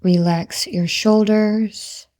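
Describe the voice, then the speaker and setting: A woman guides a hypnotic meditation with extremely unhurried, soothing instructions. Her tone is relaxed and mellow, at a middle pitch. Faint music plays behind her voice. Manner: slow, soothing, instructional delivery. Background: background music.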